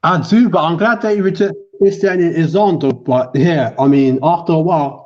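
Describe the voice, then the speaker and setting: A man's voice: a little high, brisk, darkish tone, dull audio. A man from Montserrat on an online panel debate, preaching his view with many repeats and restarts. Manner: repetitive, restarts often, preachy.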